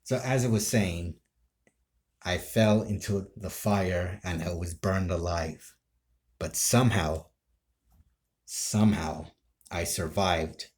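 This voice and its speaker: A man telling an improvised story casually to camera, in a halting, rambling way that often breaks off. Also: low-pitched, darkish tone. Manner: halting, rambling, self-interrupting. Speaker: amateur role-play video creator.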